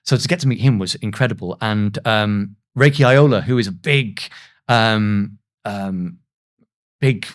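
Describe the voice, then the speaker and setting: A man's voice: lowish voice, unhurried. A man in his thirties, a voice actor, telling anecdotes in a casual, self-deprecating way as a podcast guest. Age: 30s.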